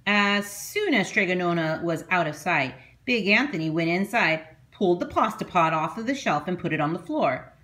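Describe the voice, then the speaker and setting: Animated woman reading a children's storybook. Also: lowish voice, normal speed, few pauses. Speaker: teacher reading aloud. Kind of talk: expressive children's storybook narration.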